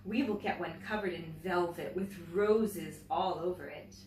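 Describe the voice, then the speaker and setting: A woman teacher reading a picture book aloud to young children, with expressive, deliberate phrasing and chatty asides to her listeners. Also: lowish voice. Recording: roomy, distant mic, faint background noise.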